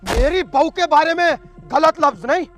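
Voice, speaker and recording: A man's voice: extremely high pitch, moderate pace, fairly bright tone. A man playing a brash, boastful villager in a comedy skit, combative and taunting. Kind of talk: loud Hindi village comedy skit acting.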